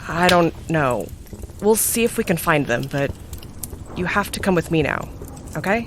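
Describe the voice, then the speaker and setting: A woman's voice: low voice, lively intonation, normal speed, background noise. A woman playing a blunt, protective rescuer at a fire in an audio drama, urgent yet reassuring. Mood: reassuring, brisk. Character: brisk, gruff, protective female rescuer at a fire. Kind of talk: scripted audio drama acting.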